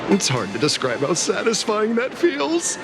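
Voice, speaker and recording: A man's voice: sits high, wildly swooping pitch, a little slow, vocal fry, full character voice. A man voicing a cheerful father who sounds unsettlingly happy in a video game. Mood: blissful. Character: cheerful father, eerily blissful. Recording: game audio with music.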